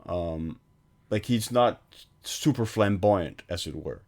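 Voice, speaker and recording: Low-voiced hesitant man on a film podcast. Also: animated, slow pace. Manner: hesitant, many fillers, trailing off quietly. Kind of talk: casual chat.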